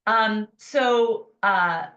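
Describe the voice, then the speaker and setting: Woman, 50s, podcast guest, animated storyteller, muffled recording. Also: mid-range voice, very slow. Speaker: guest, life coach. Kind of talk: conversational interview storytelling.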